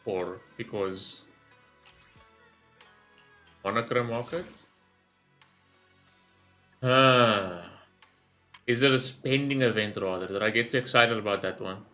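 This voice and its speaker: A man's voice: lowish voice, takes it slowly, pauses often, sharp tone, faint music behind. A man giving casual gameplay commentary, rambling as he thinks aloud and swearing freely. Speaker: gaming streamer recording a reaction video.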